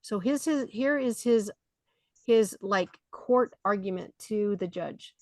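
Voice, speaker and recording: A woman's voice: medium pitch, takes it slowly, a little mellow, no echo, clean studio sound. A woman leading a study group, reading scripture aloud and explaining it conversationally. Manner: conversational, with fillers between readings.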